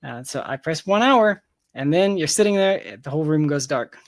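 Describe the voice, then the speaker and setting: A man with a slightly high voice speaks as a guest in a casual interview. He explains his thinking emphatically, often repeating phrases to drive them home. The sound is close and dry. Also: expressive, moderate pace, no room sound. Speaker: interview guest, tech company founder.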